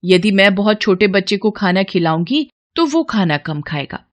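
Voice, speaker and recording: A woman's voice: mid-range voice, big pitch swings, normal speed, lo-fi sound, natural voice. A woman voicing a stingy, complaining and easily exasperated housewife in a devotional storybook tale. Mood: scheming. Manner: complaining, scheming.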